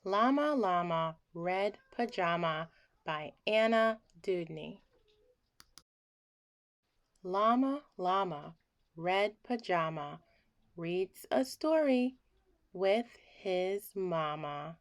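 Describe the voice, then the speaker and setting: A woman reading a children's bedtime story aloud in an expressive, theatrical way that acts out the emotions in the words. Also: fairly low pitch, extremely slow, pauses often.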